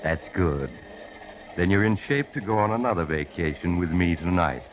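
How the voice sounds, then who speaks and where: An American actor playing a sly, sinister mystery host, speaking with ominously genial, teasing pauses in 1940s radio style. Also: male voice, sits low, slight background noise, close to an everyday voice. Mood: sly menace. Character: sly, sinister storyteller teasing listeners with dark hints. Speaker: mystery show host and narrator. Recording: muffled 1940s radio recording with music.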